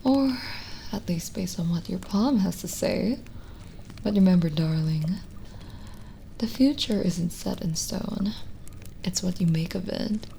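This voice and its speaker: A woman playing a lonely, gentle witch in an ASMR roleplay, speaking softly and intimately, often in a whisper, with halting pauses. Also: lowish voice, a little hiss or hum. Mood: gently reassuring. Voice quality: soft, breathy, often whispered. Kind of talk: ASMR roleplay, slow soothing scripted acting.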